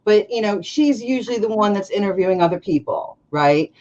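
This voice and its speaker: A woman's voice: mid-range voice, fairly quick. A woman in her forties, nasal and congested from allergies, chatting and laughing often as she hosts a casual livestream interview. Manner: chatty, laughs often, quotes others with lively voices. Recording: dull lo-fi stream audio.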